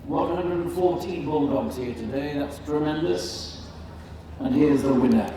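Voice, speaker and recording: A man announcing and commentating at a live show in a measured, deliberate, formal manner. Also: fairly high pitch, warm tone. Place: large indoor show arena. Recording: echoey PA in noisy crowded hall, distant. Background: crowd noise and hall ambience.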